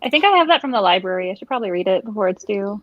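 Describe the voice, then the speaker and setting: A woman's voice: medium pitch, lively intonation, racing. A woman on a livestream panel, chatting casually. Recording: dull, dry lo-fi call audio.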